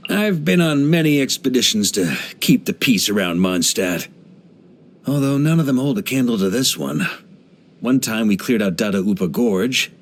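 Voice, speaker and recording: An actor playing a genial, laid-back middle-aged knight commander in a video game, with a theatrical, easygoing manner. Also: male voice, medium pitch, expressive, moderate pace, natural voice. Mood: boastful, warm. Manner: jovial, laid-back, theatrical, given to chuckles. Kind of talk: English video game character voice lines.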